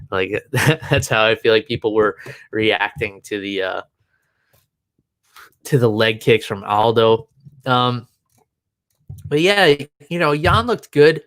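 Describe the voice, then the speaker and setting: A man's voice: fairly low pitch, unhurried, pauses often, a bit bright, clear, resonant tone, dry recording. A man co-hosting a casual sports podcast, rambling with lots of fillers and restarts. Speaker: podcast co-host. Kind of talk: casual chat.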